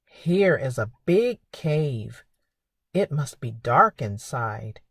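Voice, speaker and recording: A woman hosting a preschool storytime, speaking in simple, expressive phrases for young children. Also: rumbling low, slow, slightly rough, dry recording, clean studio sound. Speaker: children's librarian and storytime host. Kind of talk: preschool storytime reading and narration.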